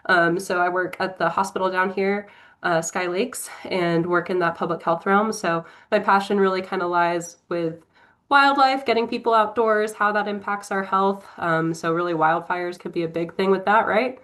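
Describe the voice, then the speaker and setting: A woman's voice: medium pitch, fairly even intonation, moderate pace, fairly bright tone. A young woman from Tennessee, congested from a cold, giving an upbeat, chatty introduction on a video call with many fillers. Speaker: prospective board member.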